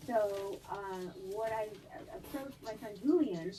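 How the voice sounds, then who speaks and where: A mellow-voiced woman chats eagerly as a guest actress, laughing through her stories. Her voice sounds set back from the mic, with faint noise behind it. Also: on the high side, measured pace, distant mic, low-quality recording. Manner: animated, chatty, laughs often. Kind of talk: live-stream interview chat.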